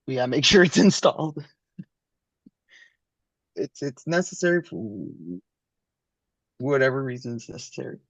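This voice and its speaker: A man learning as a student, thinking aloud haltingly as he reads through material and checks his understanding. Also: medium pitch, no echo. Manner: tentative, halting, asks to confirm understanding. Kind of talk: thinking aloud while reading through code.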